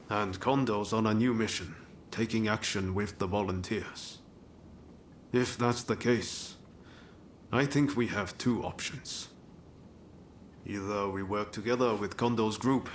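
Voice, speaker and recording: A man's voice: lowish voice, a little hiss or hum, lightly acted. A man playing a thoughtful, loyal ronin in a video game, plain-spoken and reflective. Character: thoughtful, loyal ronin weighing choices with a comrade. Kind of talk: natural video game cutscene acting.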